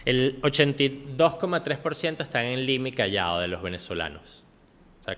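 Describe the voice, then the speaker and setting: A man's voice: lowish voice, fairly bright tone. A man giving an explanatory, off-the-cuff conference lecture as a researcher, often adding short tag questions to check in. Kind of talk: extemporaneous lecture on data. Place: indoor conference hall. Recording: reverberant hall, conference recording.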